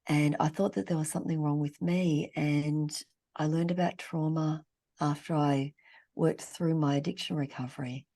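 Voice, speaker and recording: A woman raised in Australia, a therapist guest speaking slowly and thoughtfully in a podcast interview. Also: deep voice, fairly even intonation, mellow. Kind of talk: reflective interview talk. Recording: podcast remote call.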